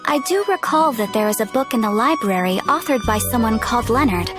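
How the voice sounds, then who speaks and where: A woman voicing a polite, composed guild receptionist in a video game, formal and measured. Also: a little high, lively intonation, music in the background, close to an everyday voice.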